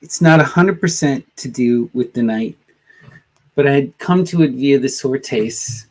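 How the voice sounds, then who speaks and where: A man hosting a live tarot stream, talking in a slow, gentle way with soft trailing endings, sniffly from allergies. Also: mid-range voice, rough recording. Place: home in a wooded area.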